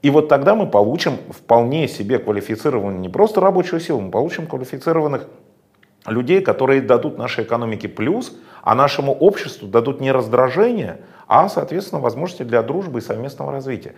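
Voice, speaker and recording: A man's voice: mid-range voice, expressive, fast. A man giving long, emphatic, lecturing answers in a political interview, with frequent hesitation sounds. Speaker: interview guest.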